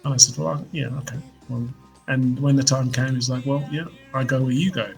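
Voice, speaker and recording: A man in his fifties, a musician guest, reflecting on his life in relaxed, hesitant interview talk. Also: medium pitch, a little fast, gravelly, faint music behind. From United Kingdom. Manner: reflective storyteller, hesitant restarts. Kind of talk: casual interview chat.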